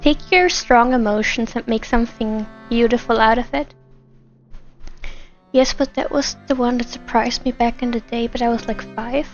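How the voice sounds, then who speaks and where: A woman's voice: fairly high pitch, steady tone, normal speed. A woman chatting casually and conversationally as she livestreams a game, in a friendly manner. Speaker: game streamer. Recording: slightly dull microphone, game music behind. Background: game music and dialogue.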